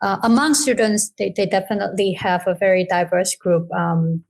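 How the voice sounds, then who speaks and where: A woman from Hong Kong answering questions about her school's program over a video call, hesitant and filling pauses often. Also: medium pitch, fairly even intonation. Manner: hesitant, many "um" and "you know". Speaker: school representative. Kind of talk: casual webinar answers.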